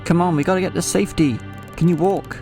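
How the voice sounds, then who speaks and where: A man's voice: sits high, steady tone, fast talker, dark tone. An actor playing an anxious, impulsive man in a horror audio drama, speaking with dramatic intensity. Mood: urgent, defiant. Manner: urgent, dramatic. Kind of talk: horror audio drama dialogue and first-person narration. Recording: music behind the voice.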